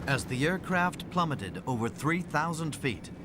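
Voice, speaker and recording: A man's voice: on the high side, big pitch swings, measured pace, background noise. A man reading scripted, dramatic narration as the narrator of a documentary.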